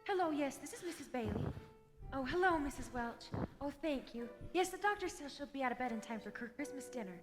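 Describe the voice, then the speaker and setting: An actress playing a gentle, anxious young wife and mother in a radio play, polite and pleading. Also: high voice, lively intonation, brisk. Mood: polite, flustered. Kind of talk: 1940s radio play acting. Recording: reverberant hall, faint music, live stage.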